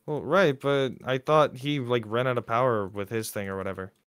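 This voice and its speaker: A man's voice: medium pitch, fairly even intonation, normal speed, fairly bright tone, smooth, no echo, clean studio sound. A man live streamer chatting casually as he comments on a game. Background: game music.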